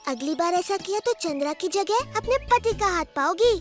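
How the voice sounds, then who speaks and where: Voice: very high voice, lively intonation, normal speed, soft background music. A performer playing a sweet, mischievous little boy in a children's cartoon, innocent and playful. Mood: coaxing, cheeky.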